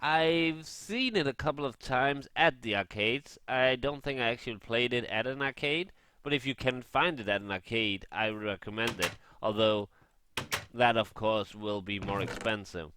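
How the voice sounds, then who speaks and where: A man gaming vlogger chatting casually and haltingly in a rambling review over gameplay. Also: mid-range voice, noisy background.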